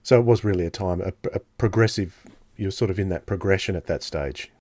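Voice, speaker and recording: A man's voice: low voice, fairly quick, a little mellow. A man hosting a podcast interview, putting his questions conversationally and a little hesitantly.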